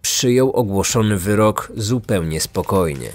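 Lowish-voiced male true-crime narrator. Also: animated, unhurried. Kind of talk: scripted dramatic narration. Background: music.

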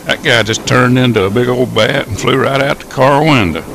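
Male, low gravelly voice, folksy radio host playing train conductor, noisy rumbling background. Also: moderate pace. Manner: folksy, hokey. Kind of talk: folksy old time radio comedy skit.

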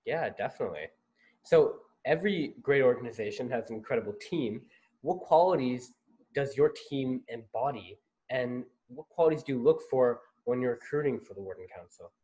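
A man's voice: mid-range voice, lively intonation, normal speed, warm tone, dull audio. A young man hosting a student interview over a video call, asking formal prepared questions. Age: young adult. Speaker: interview host. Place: home office.

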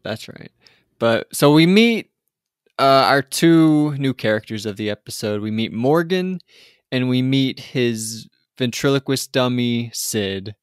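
A man co-hosting a casual podcast recap, drifting through the plot with lots of "like" and false starts. His voice sits in an ordinary middle pitch. The recording is dry and close, with no room sound. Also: expressive, takes it slowly, clean tone, no echo. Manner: rambling, lots of "like" and restarts. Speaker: podcast co-host. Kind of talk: casual chat recapping a TV episode.

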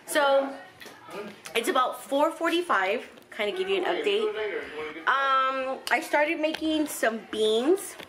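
A middle-aged woman from South Texas chatting casually to a handheld camera in her home kitchen, relaxed and full of ums and likes. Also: high-pitched, takes it slowly, crowd noise, low-quality recording. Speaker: vlogger. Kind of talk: casual home vlog chat. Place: home living room and kitchen, indoors. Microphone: handheld camera close to face.

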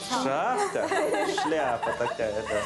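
A man's voice: a little high, wildly swooping pitch, slow, vocal fry. A man, chatting casually as a guest. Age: 30s. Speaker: guest, homeowner and father. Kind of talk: casual chat. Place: indoors in a furnished room. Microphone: clip-on lapel mic. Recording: roomy indoor sound, faint music.

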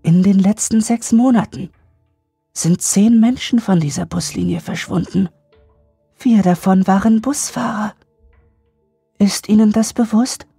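A woman voicing an eerie, sulky albino little boy in a horror audiobook, speaking softly with a husky tone and sounding oddly grown-up. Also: medium pitch, a little slow, pauses often, no room sound, faint music behind, full character voice. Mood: grave, eerie.

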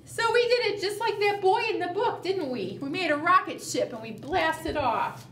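A middle-aged woman leading a preschool storytime, animated and sing-song, coaxing small children with questions. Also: extremely high pitch, normal speed. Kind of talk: storytime read-aloud and chat addressed to small children. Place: small indoor room, seated at a table. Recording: roomy, distant mic, faint room noise.

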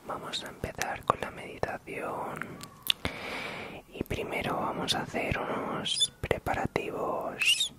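Male, Spain accent, whispered ASMR, extremely unhurried, soothing meditation host.

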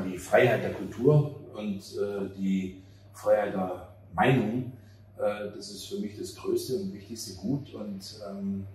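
A man in his fifties gives conversational interview answers, pausing often to find his words. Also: lowish voice, a little mellow. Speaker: theater director being interviewed. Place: outdoor café table on a street at night. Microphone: handheld interview mic held by interviewer. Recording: very roomy, distant mic, faint ambient noise.

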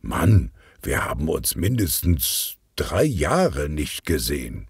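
A man voicing a determined, jovial older police detective uncle who grumbles deliberately in a children's audio story. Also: extremely low pitch, big pitch swings, slightly rough, dry recording, studio quality, heavily acted. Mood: pleased.

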